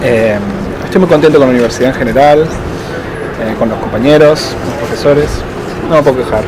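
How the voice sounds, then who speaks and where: A man, answering interview questions slowly, with frequent fillers and pauses. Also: medium pitch. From Argentina. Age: 30s. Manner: thoughtful, hesitant, many fillers and slow pauses. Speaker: interviewee, doctoral student. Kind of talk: casual interview. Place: outdoors in a city. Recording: outdoor street noise.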